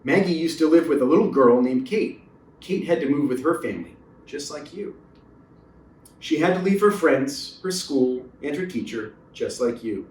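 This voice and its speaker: A man voicing a gentle, reassuring mother with a soothing, encouraging manner in a children's story, recorded roomy and distant. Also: fairly high pitch, expressive, moderate pace, slight background noise, close to an everyday voice. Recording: roomy echo, distant mic.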